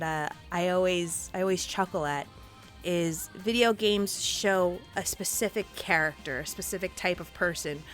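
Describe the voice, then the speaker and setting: Upbeat woman host, Spanish first language. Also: lowish voice. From Puerto Rico. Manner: upbeat, encouraging, laughs often, many fillers. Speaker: interviewer, show host. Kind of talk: live-streamed interview in an online game.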